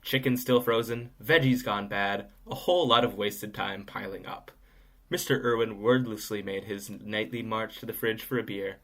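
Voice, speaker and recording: A man's voice: on the low side, fairly even intonation, moderate pace, a bit bright. A man narrating an audio drama from a script, in a wry, knowing storytelling manner. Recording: music behind the voice.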